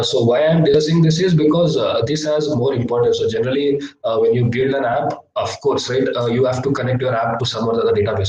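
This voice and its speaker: A young man teaching a live online coding lesson, hesitant with many filler sounds, his voice slightly low from a cough. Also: darkish tone, dull audio. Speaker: workshop instructor. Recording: echoey, distant laptop mic.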